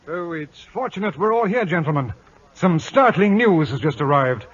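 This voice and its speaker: A man's voice: on the high side, big pitch swings, moderate pace, slightly rough, faint music behind. An actor playing a haughty, stubborn admiral in a 1950s radio drama, pompous and condescending as he commands. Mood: brisk, confident. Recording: dull old radio broadcast recording.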